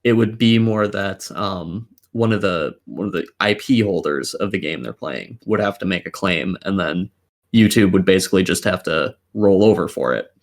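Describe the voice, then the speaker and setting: Blunt, low-voiced man arguing a point. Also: moderate pace. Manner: blunt, sweary, argumentative. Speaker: podcast co-host. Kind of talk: casual opinionated podcast commentary.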